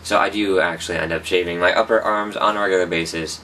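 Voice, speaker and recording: A man's voice: low voice, steady tone, fast, bright, forceful tone, far from the mic, a little hiss or hum. A young man rambling through a casual personal update, often correcting himself. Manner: rambling, self-correcting, chatty. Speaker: vlogger.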